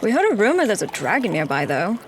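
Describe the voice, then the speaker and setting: A woman's voice: mid-range voice, wildly swooping pitch, fast, slight background noise. A woman playing a practical adventurer in a tabletop game, with a dry, teasing manner. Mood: businesslike.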